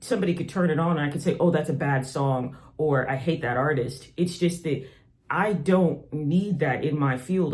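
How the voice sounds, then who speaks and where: A man's voice: a little high, a little mellow. A man with a Southern US accent giving a casual spiritual talk in an earnest, reflective, conversational way. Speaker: spiritual teacher and channel host. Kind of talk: casual monologue teaching.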